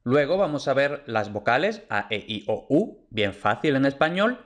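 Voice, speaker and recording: A man teaching pronunciation in an online course, speaking clearly and restating each point. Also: mid-range voice, very animated, normal speed. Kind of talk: online course lecture over slides.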